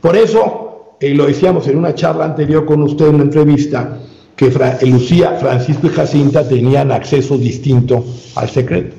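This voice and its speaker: A man speaking as an interview guest, giving a long measured explanation with frequent filler sounds and restarts. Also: a little high, fairly even intonation, vocal fry, mellow. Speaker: guest researcher. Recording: roomy, dull lo-fi sound.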